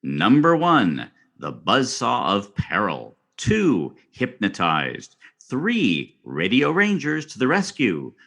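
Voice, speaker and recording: A man's voice: mid-range voice, huge pitch swings, takes it slowly, fairly bright tone, exaggerated voice. An actor playing a florid, theatrical literary scholar on a scripted podcast, savouring every word as he reads aloud. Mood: lurid melodrama. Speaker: guest expert on a podcast.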